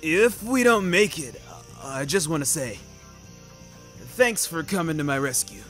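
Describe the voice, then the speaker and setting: Man voicing an excitable, high-pitched young werewolf. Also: a little slow, faint music behind, exaggerated voice. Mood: earnest, desperate. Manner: exclaiming, slangy. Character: big anxious grateful young werewolf buddy.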